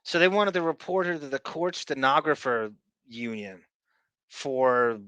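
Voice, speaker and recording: A male podcast host with a bright, forward voice, talking in a chatty, opinionated way. The sound is close and dry with no room around it. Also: mid-range voice, animated, clean tone, no echo. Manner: chatty, opinionated, quick asides. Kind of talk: casual chat reacting to clips.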